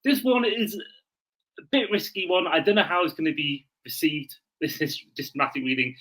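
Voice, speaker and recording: A man's voice: a little high, normal speed, bright, forceful tone, poor audio. A man from Wales, talking casually as a podcast host.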